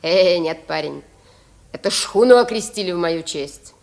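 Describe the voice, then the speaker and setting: A woman's voice: fairly low pitch, lively intonation, unhurried, gravelly, a little mellow. An actress playing a bold, teasing young sailor girl with brash, colloquial speech in a 1950s Soviet radio drama. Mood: proud, teasing. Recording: old 1950s radio recording.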